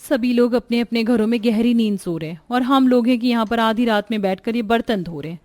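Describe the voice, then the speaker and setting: A woman acts an overworked young housewife in a family cartoon. Her voice is on the high side. She sounds worn out and laments her lot. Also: fairly quick, a little mellow, no echo, faint music behind, close to an everyday voice. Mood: fed up. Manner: weary, lamenting.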